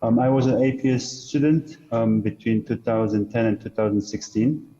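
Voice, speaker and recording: A man in his thirties from Korea, a graduate joining a panel online, speaking haltingly with many fillers. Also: medium pitch, dark tone, husky. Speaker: alumnus panelist. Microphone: video call. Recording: dull lo-fi call audio.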